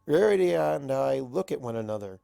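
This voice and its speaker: A story reader narrating scripted fiction. The voice sits at an ambiguous middle pitch with noticeable creak. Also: animated, fairly quick, mellow. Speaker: audiobook-style reader.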